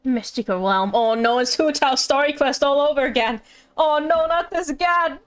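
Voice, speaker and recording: A woman's voice: high-pitched, moderate pace. A woman doing casual, playful live commentary as she streams a game, reacting as she goes. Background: game music.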